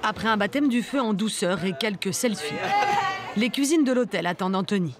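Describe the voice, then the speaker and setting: A woman reading a documentary voice-over in a measured, even way. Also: medium pitch, background noise. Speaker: documentary narrator. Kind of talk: scripted voice-over narration. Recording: studio voice-over with music underneath.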